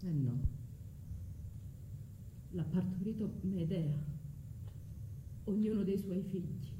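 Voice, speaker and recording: An actress playing a vengeful, tormented foreign sorceress, delivering an intense, declamatory stage monologue in a radio drama. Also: female voice, fairly low pitch, mellow, dull audio, a little hiss or hum. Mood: anguished. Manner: declamatory, intense, slow and drawn out. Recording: echoey room with music underneath.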